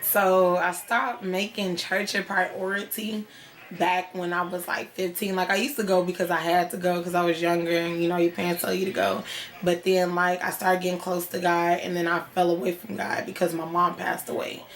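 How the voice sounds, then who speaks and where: A woman's voice: lowish voice, little pitch movement, moderate pace. A woman in her twenties answering interview questions casually, with many fillers. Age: 20s.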